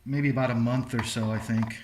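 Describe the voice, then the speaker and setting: A man chatting casually as a podcast host, telling stories in an animated way. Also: medium pitch, brisk, noisy background. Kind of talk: casual chat.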